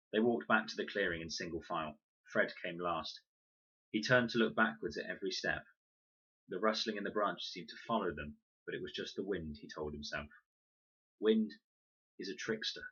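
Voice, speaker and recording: A man's voice: deep voice, bright, forceful tone, slightly rough, lo-fi sound. A man reading a children's adventure novel aloud as scripted storybook narration. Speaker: audiobook reader.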